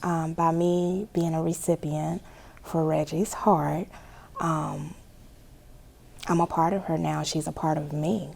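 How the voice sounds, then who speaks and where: A woman answers an interviewer in a low, level voice. It is heavily creaky, with slight noise in the background. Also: level tone, slow, darkish tone.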